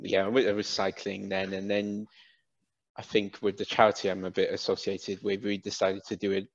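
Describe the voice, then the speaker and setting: A British man in his thirties, raised in Wales, chatting casually as an interview guest over a headset, with relaxed, halting speech full of fillers. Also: low voice, no room sound. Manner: relaxed, many fillers and restarts. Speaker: guest, cap business founder. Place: indoor room, video call. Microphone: headset on video call. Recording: dull, dry video-call audio.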